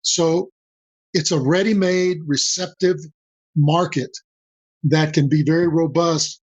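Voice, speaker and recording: An older man from Mexico whose first language is Spanish, a guest on a podcast interview, talking in a measured way and repeating key phrases for emphasis. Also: a little high, fairly even intonation, no echo. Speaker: guest, senior advisor. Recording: dull dry lo-fi audio.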